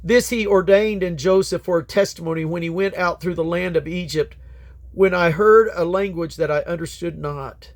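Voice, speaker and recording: A man with a slightly high voice reads scripture aloud as a Bible reader. His delivery is solemn and declamatory, and his phrases tend to drop at their endings. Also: moderate pace.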